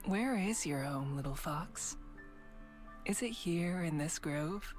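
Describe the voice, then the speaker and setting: A woman voicing a gentle, wistful traveler in a visual novel, speaking softly. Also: fairly low pitch, lively intonation, takes it slowly, soft background music. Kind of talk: voiced visual novel dialogue.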